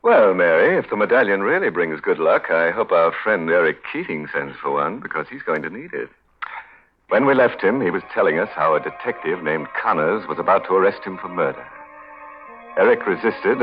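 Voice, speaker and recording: Male radio host, very low voice, scripted 1940s mystery narration, dull recording with faint music behind. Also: sing-song, brisk.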